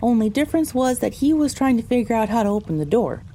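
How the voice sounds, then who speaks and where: A woman's voice: on the high side, very animated, quick pace, mellow, slight background noise. A woman voicing a pony who narrates her own story, reading scripted first-person audio drama narration. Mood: reflective.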